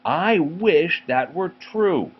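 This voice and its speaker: A man voicing a plaintive, excitable little girl in a storybook reading. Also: a little high, very slow, voice pushed up. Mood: dismayed. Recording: dull home recording, faint noise.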